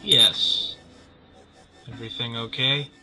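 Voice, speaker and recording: A man's voice: medium pitch, fairly even intonation, slow, over loud music, close to an everyday voice. A man playing a concerned, understanding confidant in an audio drama, frank and familiar.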